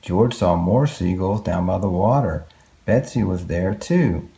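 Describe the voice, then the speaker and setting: A man's voice: low-pitched, unhurried, creaky, mellow. A man reading a picture book aloud to young children, expressively, with exclamations and questions to his listeners. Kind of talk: read-aloud picture book narration with asides.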